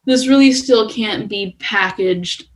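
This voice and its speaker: A woman's voice: medium pitch, slow pace. A woman guest talking informally and conversationally on a podcast, often saying "like". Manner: informal, conversational, heavy use of "like". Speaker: podcast guest, music company co-founder. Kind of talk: casual chat.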